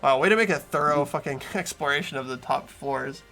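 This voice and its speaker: A man hosting a game playthrough, switching between casual commentary and expressive reading of the narration. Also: mid-range voice, a little fast, vocal fry, soft background music.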